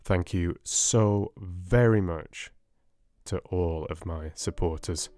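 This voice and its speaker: A man narrating an audiobook in a measured, deliberate dramatic reading style. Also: deep voice, mellow, dry recording.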